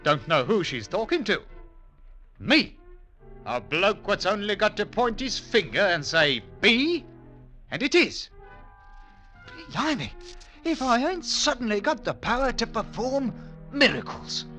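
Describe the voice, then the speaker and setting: Excitable, pompous Cockney man. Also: high voice, measured pace, long pauses, faint music behind, heavily acted. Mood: smug, wondering. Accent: English Cockney. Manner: pompous, blustering, ungrammatical with grand words. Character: pompous, excitable working-class Englishman who thinks himself scientific. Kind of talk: old-time radio comedy acting, first-person storytelling. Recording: vintage 1940s-50s radio recording.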